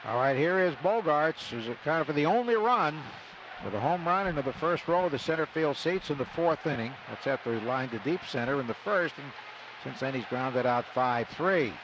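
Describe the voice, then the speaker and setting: A man's voice: fairly high pitch, expressive, normal speed, noisy background. An American man calling baseball play-by-play live on a radio broadcast. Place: stadium broadcast booth. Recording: muffled radio broadcast, loud stadium ambience. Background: loud ballpark ambience.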